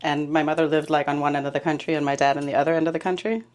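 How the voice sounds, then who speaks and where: Low-voiced woman, easy laugh. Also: steady tone, very fast, a little mellow. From Denmark. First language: Danish. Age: middle-aged. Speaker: podcast guest, preschool director. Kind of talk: casual conversational interview.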